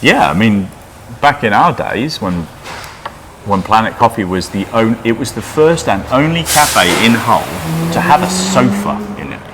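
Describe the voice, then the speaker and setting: A man's voice: medium pitch, very animated, unhurried. A Welsh-born man who runs a coffee roastery, talking casually in an interview, dry and wry, often stopping and restarting. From Wales. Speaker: coffee roaster and business owner. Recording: noisy room ambience.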